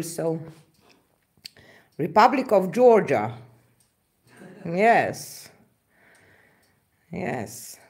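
Slow-talking Slovenian-accented woman. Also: medium pitch, darkish tone. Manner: halting, searches for words, frequent fillers and laughs. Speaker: acrylic pouring artist hosting a live stream. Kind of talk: casual live-stream chat reading viewer comments. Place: indoor craft table, camera overhead.

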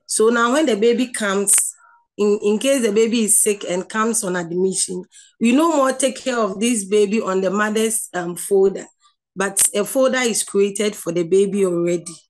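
A woman lecturer with a Ghanaian accent talks through slides on a video call. She explains patiently, repeating herself and restarting sentences. Her voice sits at an ordinary middle pitch. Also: moderate pace. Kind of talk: online lecture over slides.

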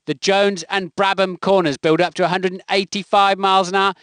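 A man's voice: high-pitched, level tone, fairly quick, fairly bright tone, no echo. A man delivering scripted motor racing commentary for a video game in clipped, emphatic phrases. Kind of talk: scripted race commentary voice clips.

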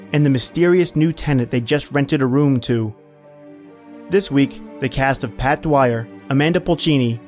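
A man's voice: medium pitch, darkish tone. A man hosting a podcast, giving a scripted, upbeat introduction. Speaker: podcast host.

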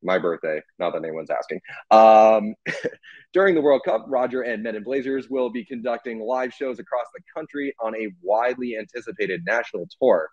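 A man's voice: on the low side, animated, moderate pace, a little mellow, dry recording. A young man interviewing a guest over a video call, in a casual way with many fillers. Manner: casual, filler-heavy questioning. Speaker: interviewer, writer. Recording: dull, lo-fi video call audio.

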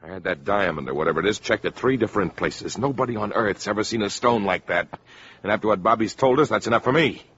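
An actor playing a self-serving, hot-headed American boyfriend in a 1950s radio drama, brash and insistent. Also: male voice, lowish voice, expressive, quick pace, fairly bright tone, a little hiss or hum. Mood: agitated, insistent. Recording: dull vintage 1950s radio recording.

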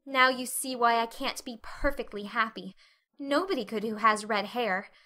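Woman as a bright, chattering, dreamy young girl. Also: a little high, moderate pace. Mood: tragic, resigned. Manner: chatty, effusive, earnest. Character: talkative, dreamy, dramatic eleven-year-old orphan girl. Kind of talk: dramatic audiobook reading.

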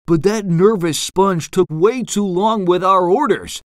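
A woman's voice: on the low side, unhurried, no echo, clean studio sound, pitched above the performer's own voice, lightly acted. A woman voicing a sheepish, complaining eel gang leader in a children's storybook. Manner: apologetic, grumbling.

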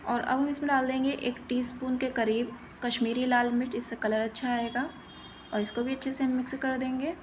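A woman's voice: high-pitched, level tone, fairly quick, a little hiss or hum. A woman giving plain-spoken, step-by-step instructions in a recipe voice-over as host of a cooking channel.

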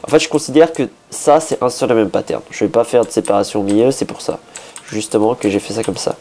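Hoarse man thinking aloud while building. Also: lowish voice, quick pace, dark tone. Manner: thinking aloud, many hesitations and restarts. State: hoarse, a bit sick. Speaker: Minecraft builder. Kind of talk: casual building commentary.

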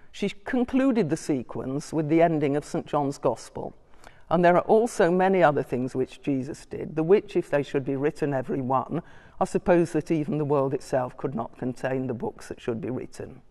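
An older woman giving a scholarly talk from her notes, measured, with small hesitations and asides. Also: low-pitched, mellow. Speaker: lecturer. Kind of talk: public talk reading from notes. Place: large church interior. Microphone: distant room mic. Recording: long church reverb.

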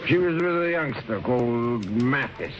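A man's voice: mid-range voice, lively intonation, unhurried, background noise, close to an everyday voice. An actor playing a tipsy, gossipy married man with a rambling, chummy manner in an old radio drama. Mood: tipsy, confiding. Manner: rambling, hesitant, chuckling drunk talk. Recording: muffled old radio recording, music behind.

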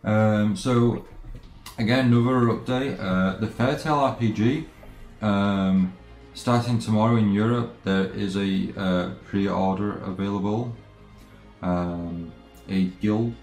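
A man streaming a game with casual chat, talking in a halting, hesitant way. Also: on the low side, darkish tone. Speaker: let's play streamer. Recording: roomy microphone with game music behind. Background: game background music.

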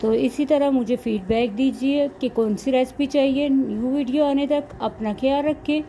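A woman's voice: high voice, moderate pace, warm tone, poor audio. A woman narrating a recipe step by step as a cooking vlog host, in a homemade recording. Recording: clear background noise, homemade recording.

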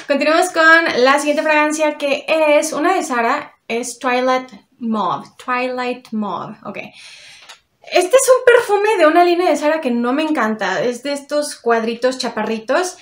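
A woman's voice: high voice, measured pace. A young woman, chatting casually and expressively as a beauty vlogger reviewing products from her bedroom. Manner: chatty, expressive, uses diminutives. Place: small bedroom with vanity mirrors, indoors.

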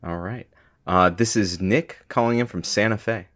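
A man's voice: fairly low pitch, moderate pace, a bit bright, close to an everyday voice. An actor playing a laid-back man who produces a radio show and introduces the callers. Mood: businesslike.

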